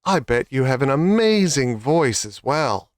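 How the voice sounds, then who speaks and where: A man voicing a sly, flattering fox with a gushing, smooth-talking manner in a children's fable. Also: mid-range voice, a little slow, dry recording, studio quality.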